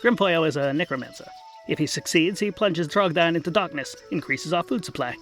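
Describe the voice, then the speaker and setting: A man's voice: on the high side, fairly quick, fairly bright tone, soft background music, lightly acted. A man voicing a casual yet officious, slightly slurring vampire in a fantasy comedy audio drama. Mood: smug, casual.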